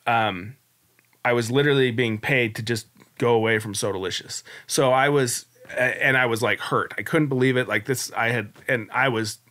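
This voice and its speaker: A man's voice: medium pitch, normal speed, sharp tone. A man in his forties, a guest on a podcast, telling his story in a candid, reflective, rambling way. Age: 40s. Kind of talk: casual interview storytelling.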